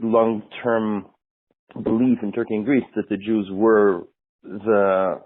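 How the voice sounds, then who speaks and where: Low-voiced man on a tinny phone line. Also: flat delivery, slow pace. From United States. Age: 50s. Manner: friendly, thoughtful, explains at length. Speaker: rabbi. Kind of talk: casual conversation.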